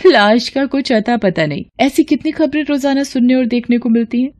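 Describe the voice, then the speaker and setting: A woman's voice: high-pitched, normal speed, a little mellow, pitched above the performer's own voice, close to an everyday voice. A woman voicing a vain, scheming elder daughter-in-law in a cartoon family melodrama, with a theatrical, wheedling manner.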